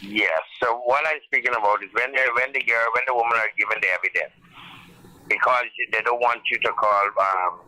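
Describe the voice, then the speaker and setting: A man calling into a radio show, halting and searching for his words. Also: mid-range voice, pushed and bright, poor audio. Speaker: caller.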